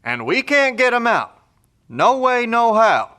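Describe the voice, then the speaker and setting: A man's voice: very high voice, big pitch swings, unhurried, sharp tone, heavily acted. A man playing a blunt, folksy, skeptical post-apocalyptic survivor in an audio drama. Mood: incredulous. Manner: folksy, colloquial, drawling phrases.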